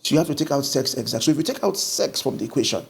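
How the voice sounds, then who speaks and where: Man, middle-aged, Nigerian accent, pastor, gravelly, lapel mic, indoor studio. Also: mid-range voice, racing. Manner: animated storytelling with lively gestures. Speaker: pastor and guest. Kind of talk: conversational book discussion.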